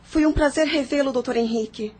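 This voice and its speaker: A woman playing a polite, embittered mother with deep sadness in an audio novel. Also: brisk, a little mellow, close to an everyday voice. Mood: politely resigned. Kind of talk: audio novel dramatic acting.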